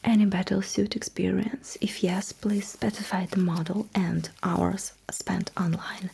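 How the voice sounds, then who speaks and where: Woman, breathy whisper, slow pace, soft mellow tone, courteous dry-witted sci-fi mecha technician, ASMR roleplay, clear hiss behind. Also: medium pitch, little pitch movement. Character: courteous, dryly witty alien mecha technician. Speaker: ASMR performer.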